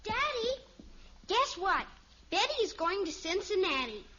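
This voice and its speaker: A woman's voice: very high voice, sing-song, measured pace, sharp tone, exaggerated voice. An actress playing an eager, candid, inquisitive little girl in a 1950s radio sitcom. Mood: eager, tattling. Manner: wide-eyed, candid questioning. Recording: muffled old radio recording, faint hiss.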